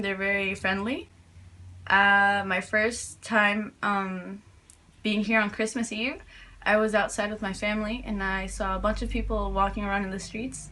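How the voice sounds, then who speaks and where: A woman's voice: medium pitch, bright, forceful tone, a little hiss or hum, low-quality recording. A teenage girl from New York answering interview questions casually, hesitant, with many fillers and restarts. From New York, USA. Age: teens.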